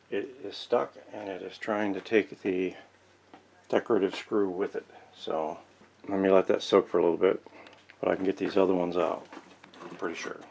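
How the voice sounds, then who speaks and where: A man's voice: sits low, vocal fry, darkish tone, a little hiss or hum. A man giving casual, folksy, unscripted narration as he works with his hands, thinking aloud as he goes. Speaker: host of a vintage radio repair channel. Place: indoor workshop.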